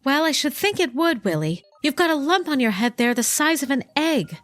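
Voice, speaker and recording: A woman's voice: sits high, very animated, normal speed, soft background music. An actress playing an earnest heroic young woman in an eager 1930s radio serial style.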